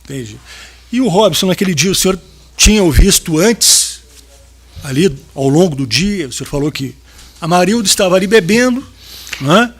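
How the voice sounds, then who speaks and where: A man questions a witness during a jury trial. His voice is husky and sits a little high. He sounds formal and methodical and gives short, quiet acknowledgments between his questions. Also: animated, moderate pace. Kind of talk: courtroom questioning.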